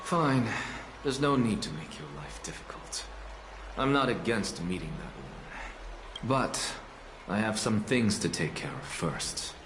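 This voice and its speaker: A man's voice: lowish voice, very animated, measured pace, reverberant, background noise. A man voicing a haughty scholar professor in a video game, dry, self-assured and dismissive. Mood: aloof. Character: haughty scholar professor Anaxa, aloof and sardonic.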